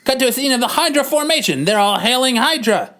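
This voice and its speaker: A woman's voice: high voice, animated, fast, a bit bright. A woman narrating scene directions as part of a dramatic comic-book reading on a podcast.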